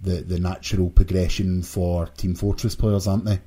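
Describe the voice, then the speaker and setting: A man's voice: low-pitched, little pitch movement, moderate pace, dark tone, slightly rough. A British man hosting a casual video game podcast, sometimes stammering and repeating his words. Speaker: podcast host.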